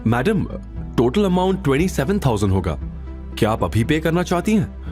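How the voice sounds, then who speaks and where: A deferential restaurant manager in an audio drama, played by a man. He speaks courteously, with animated pitch movement and faint music behind him. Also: normal speed. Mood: courteous, eager. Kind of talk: audio drama character dialogue.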